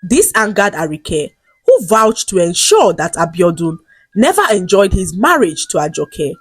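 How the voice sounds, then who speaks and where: A woman storyteller delivers a scripted folktale with highly animated pitch. Her voice has a husky edge, and the recording is close and dry. Her phrasing is deliberate and dramatic. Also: no echo.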